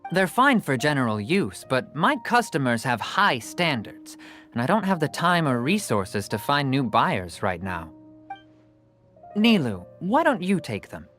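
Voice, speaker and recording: A man's voice: medium pitch, sing-song, measured pace, fairly bright tone. An actor voicing a persuasive male merchant in a video game, talking like a practiced salesman. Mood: reflective. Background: game music.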